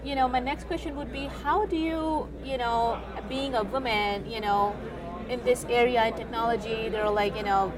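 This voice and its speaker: A woman's voice: a little high, steady tone, normal speed. Hesitant interviewer woman, crowded hall. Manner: hesitant, many fillers, rambling questions. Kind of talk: conversational interview. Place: large indoor event hall with crowd. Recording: crowd chatter behind.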